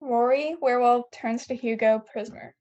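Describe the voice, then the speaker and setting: A woman's voice: fairly high pitch, fairly even intonation, moderate pace, darkish tone, lo-fi sound. A woman reading scripted stage directions at a table read, plainly and with the odd stumble.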